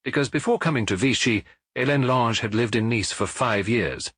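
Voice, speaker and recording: A man narrating a detective novel as an audiobook in a measured, expressive way, recorded close to the mic. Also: medium pitch, slightly rough, no echo.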